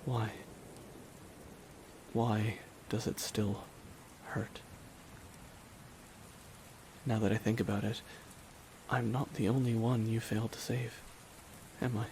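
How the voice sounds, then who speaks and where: A man playing a bitter, weary supervillain in a dramatic ASMR audio roleplay, speaking deliberately with long pauses to a dying nemesis. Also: on the low side. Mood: wounded, bitter. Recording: steady rain noise behind the voice. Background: rain falling on surfaces.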